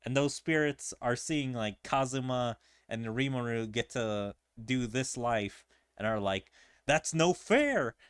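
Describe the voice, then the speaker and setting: A man's voice: medium pitch, steady tone, measured pace, smooth, dry recording, clean studio sound. A man giving casual game commentary as a streamer, musing and thinking aloud. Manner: musing, speculative. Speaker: gaming streamer.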